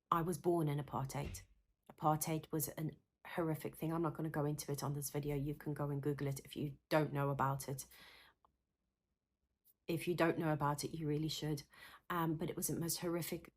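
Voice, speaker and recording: Earnest South African woman, low voice. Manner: earnest and passionate, repeats words for emphasis. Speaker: counsellor and psychotherapist. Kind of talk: direct-to-camera teaching talk.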